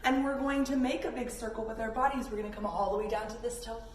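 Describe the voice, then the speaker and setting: A woman with a high voice guides children through yoga in a playful way. She encourages them and talks to them directly as friends. The sound is roomy and a little distant, with faint noise behind her. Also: fairly even intonation, quick pace. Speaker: kids yoga instructor.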